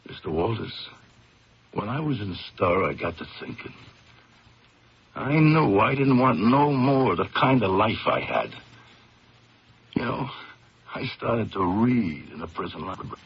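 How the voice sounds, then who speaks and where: A rough-spoken, animated reformed ex-convict. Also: male voice, lowish voice, measured pace, long pauses, heavily acted. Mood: earnest, reflective. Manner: streetwise, ungrammatical, insistent. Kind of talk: 1970s radio drama acting. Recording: muffled, noisy 1970s radio broadcast.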